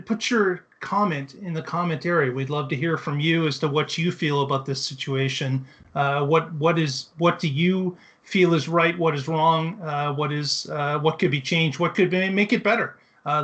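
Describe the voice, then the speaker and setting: Higher-pitched man hosting a show. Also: moderate pace, few pauses, a bit bright. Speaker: show host. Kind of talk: casual hosting, addressing viewers.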